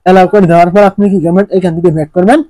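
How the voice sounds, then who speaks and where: Man, fast pace, close dry sound, repetitive instructional tutorial narration, question-and-answer phrasing. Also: high-pitched, level tone, dark tone, no room sound, poor audio. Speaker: tech tutorial presenter. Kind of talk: step-by-step phone tutorial narration.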